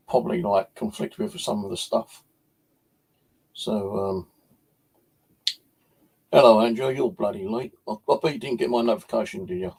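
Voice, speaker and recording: A man's voice: on the low side, creaky, darkish tone, breathy edge, rough recording. A British man chatting casually on a livestream as its host, rambling and hesitant in an informal way.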